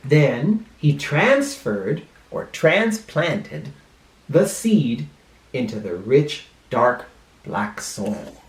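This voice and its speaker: A male teacher reading a picture book aloud to children expressively, pausing dramatically and explaining words in simple terms. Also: mid-range voice, very animated. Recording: roomy, echoing room sound.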